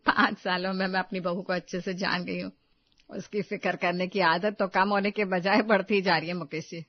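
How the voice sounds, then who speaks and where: A woman voicing a wry, exasperated but affectionate older mother-in-law in an animated family story, teasing and grumbling. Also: fairly low pitch, moderate pace, highs cut off, close to an everyday voice. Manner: teasing, dry-witted grumbling.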